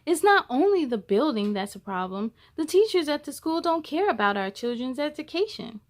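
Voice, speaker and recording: A woman's voice: sits high, big pitch swings, normal speed. A woman storyteller reading the part of an earnest, persistent father in a children's picture book. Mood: concerned, frustrated.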